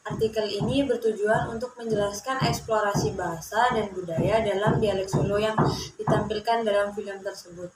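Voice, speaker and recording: A young woman, a university student, reading her class presentation aloud at a steady pace and often restarting words she trips over. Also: medium pitch. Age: young adult. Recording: echoey room with faint background noise.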